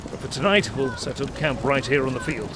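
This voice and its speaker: A man's voice: mid-range voice, a little fast, noisy background, natural voice. A man playing a dutiful cavalry captain of fantasy knights in an audio drama, formal, courteous and military in manner. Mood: earnest, courteous.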